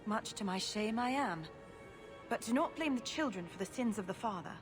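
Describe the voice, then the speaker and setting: A woman's voice: medium pitch, animated, moderate pace, music in the background, natural voice. A woman playing an earnest, distraught noblewoman in a dramatic video game scene, pleading for help. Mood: anxious, pleading. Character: distraught noblewoman pleading for her missing brother.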